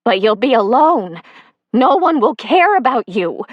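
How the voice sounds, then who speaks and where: A woman's voice: a little high, very animated, a little slow, no echo, lo-fi sound, pitched above the performer's own voice. A woman reading the part of an earnest new-age wife who pleads anxiously with her husband. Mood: pleading. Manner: pleading, nervous.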